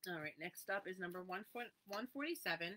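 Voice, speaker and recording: A woman with a slightly low voice hosts a live sale of needlework supplies. She reads out item numbers and prices in a friendly, chatty way and talks with viewers by name between items. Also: lively intonation, brisk, sharp tone. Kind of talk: live sales presentation, casual chat.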